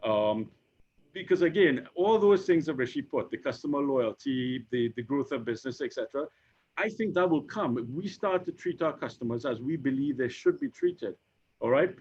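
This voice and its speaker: A man's voice: mid-range voice, animated, darkish tone, husky. Trini guy in his 50s, stumbly repeats and lots of ums, mid voice, muffled lo-fi audio. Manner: halting, repeats words, many fillers. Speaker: insurance operations executive, panel guest. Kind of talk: conversational panel discussion. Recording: dull lo-fi recording.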